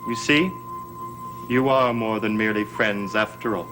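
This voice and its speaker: An actor playing an insolent, scheming young heir in a gothic television soap, smug, formal and pointed. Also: male voice, on the low side, moderate pace, over loud music, natural voice, rough recording. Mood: smug, needling. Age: young adult.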